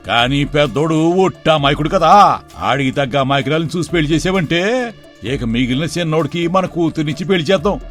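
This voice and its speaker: A man acts out a crafty, greedy middle-aged villager from a moral story. His voice sits a little high and he talks in a colloquial rural Telugu dialect, smooth and reassuring on the surface. Soft music runs underneath.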